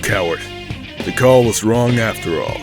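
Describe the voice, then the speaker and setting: A man's voice: on the low side, animated, measured pace, creaky, over music. A man acting a cold, arrogant young rival fighter in an audio drama, taunting and sure of himself. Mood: scornful. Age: young adult. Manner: taunting, confident, commanding.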